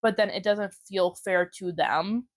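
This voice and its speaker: Young woman podcast host, casual and giggly, mid pitch, dry sound. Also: measured pace, dry recording. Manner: chatty, giggly, candid. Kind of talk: casual chat.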